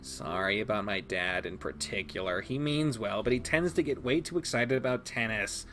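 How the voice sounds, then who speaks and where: A man playing a shy, easily embarrassed teenage boy with a soft, sheepish manner. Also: lowish voice, lively intonation, normal speed, soft background music. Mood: sheepish, earnest.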